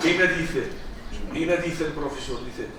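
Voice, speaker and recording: A man's voice: on the high side, unhurried, bright, forceful tone. A middle-aged man from Iraq, whose native tongue is Aramaic, lectures in a church with an Iraqi Arabic accent. He speaks emphatically, repeats phrases, and often slips and restarts words. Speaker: guest lecturer. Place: large echoey church hall. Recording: echoey hall audio from a distance with noise.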